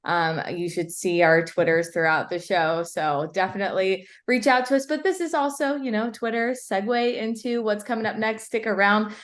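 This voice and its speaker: A woman co-host on a sports show, talking in a friendly conversational way and promoting upcoming segments. Her voice sits in a middle pitch. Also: fairly even intonation, moderate pace, few pauses. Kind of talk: casual show chat.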